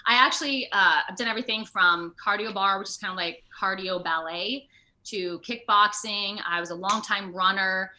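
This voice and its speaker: An American woman talking casually in an interview over a video call, with an animated, rambling, self-deprecating manner. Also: mid-range voice, moderate pace. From Ohio, United States. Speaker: actor being interviewed.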